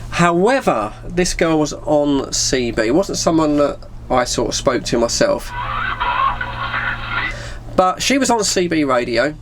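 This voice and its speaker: A man's voice: a little high, very animated, a little slow, long pauses, noisy background. A middle-aged man with an English accent chats casually and informally, rambling through a personal anecdote with plenty of slang. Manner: informal British slang, acts out bits of dialogue. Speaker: vlogger telling personal stories.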